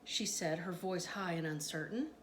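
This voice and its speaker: A woman reading a children's story aloud in an expressive narrating style. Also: lowish voice, normal speed. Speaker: storytime reader. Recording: noticeable background noise.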